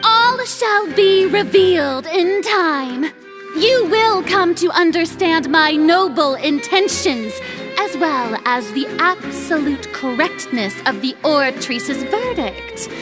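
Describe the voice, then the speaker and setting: Pompous young lady ruler, very high, unhurried, theatrical, video game cutscene, music behind. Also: animated, heavily acted. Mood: grand, boastful. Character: theatrical haughty young woman ruler, flustered beneath grand bravado.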